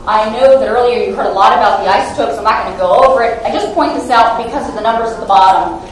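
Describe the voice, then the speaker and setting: A woman's voice: fairly high pitch, fast. A woman scientist giving an academic lecture into a handheld microphone, self-deprecating and hesitant with many fillers. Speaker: guest lecturer, lab scientist. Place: large lecture hall. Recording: echoey hall, mic far off.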